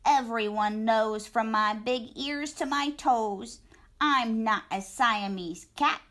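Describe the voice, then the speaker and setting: A woman's voice: fairly high pitch, slow pace, a bit bright. A woman voicing a boastful young male kitten who plays a chihuahua hero, with a sing-song, mock-Spanish swagger. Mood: defiant.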